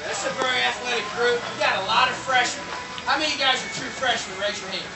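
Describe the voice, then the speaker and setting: A man's voice: extremely high pitch, fast talker. A man coaching football, casually introducing his players to a crowd with an upbeat, loose manner. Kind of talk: informal team introductions to a crowd. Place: outdoor sports event. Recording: echoey, distant mic with loud music.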